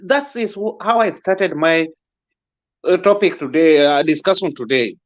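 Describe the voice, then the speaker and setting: A man with a Kenyan accent making his points haltingly, deliberately and politely in an impromptu political discussion. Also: fairly high pitch, expressive, dull audio.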